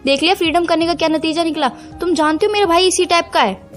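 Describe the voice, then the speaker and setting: An actress plays a young girlfriend in an audio relationship drama. Her voice is high and she speaks fast, earnest and insistent, torn but firm. Music plays under her. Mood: insistent, exasperated. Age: young adult. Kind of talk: acted phone-call drama in conversational Hindi with some English.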